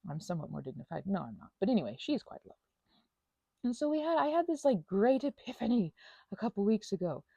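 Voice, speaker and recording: Animated, mellow woman rambling playfully. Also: medium pitch, fast talker, gravelly, breathy edge, no echo, lo-fi sound. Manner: scattered, self-deprecating, playful, trailing off into tangents and asides. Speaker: audio channel creator. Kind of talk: improvised stream-of-consciousness ramble while finger painting.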